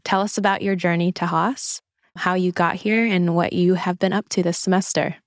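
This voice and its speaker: A woman's voice: on the low side, fairly even intonation, normal speed, dry recording. A woman hosting a podcast, giving upbeat, scripted introductions and questions from a virtual studio. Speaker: podcast host.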